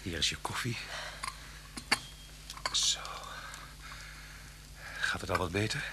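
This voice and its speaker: An actor playing an outwardly calm, solicitous murder suspect in a Dutch radio play, courteous and softly insistent. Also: male voice, deep voice, takes it slowly. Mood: calm, attentive. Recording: humming, noisy old radio recording.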